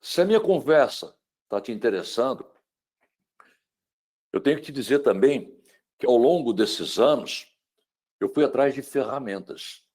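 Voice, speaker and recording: Brazilian guy in his middle years giving a webinar talk, gets loud then goes quiet, keeps asking you questions. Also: medium pitch, animated, measured pace, long pauses. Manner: dramatic, swings between loud emphasis and hushed tones; asks the listener rhetorical questions. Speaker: speaker on mental wellbeing. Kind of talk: webinar lecture.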